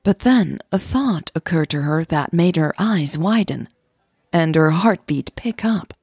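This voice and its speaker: A woman's voice: fairly low pitch, animated, measured pace, a little mellow, breathy edge, no echo, soft background music. A woman who is a native Dutch speaker, narrating a scripted romance audio drama close to the microphone.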